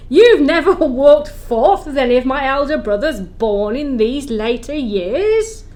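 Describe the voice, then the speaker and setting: A woman's voice: high-pitched, animated, unhurried, darkish tone. A woman acting as a commanding Christmas spirit in an amateur audio play, theatrical and grand. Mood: jovial, grand. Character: commanding Christmas spirit, jovial and booming, unyielding.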